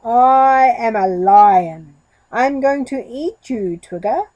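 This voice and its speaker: A woman's voice: on the high side, lively intonation, slow, a little mellow, highs cut off. A woman voicing an earnest, boastful male goat in a children's story, declaiming in emphatic phrases. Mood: menacing, defiant.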